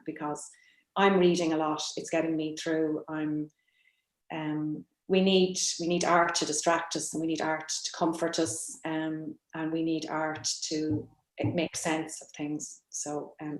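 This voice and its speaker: A woman speaks on a writers' panel in a lowish voice that stays very flat in pitch. She is thoughtful and hesitant, often going back over her phrases. The room around her echoes clearly. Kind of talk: reflective panel conversation. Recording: roomy, echoing sound.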